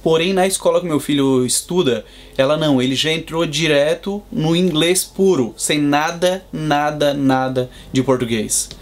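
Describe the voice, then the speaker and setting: Measured Brazilian man explaining. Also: fairly high pitch. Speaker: guest, Brazilian father in Boston. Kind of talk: casual interview answers.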